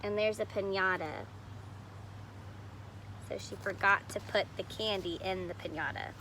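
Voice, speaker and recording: A woman's voice: mid-range voice, fairly even intonation, a little slow, background noise. A woman reading a picture book aloud expressively, stopping to explain the illustrations simply, as if to young children. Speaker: storybook reader.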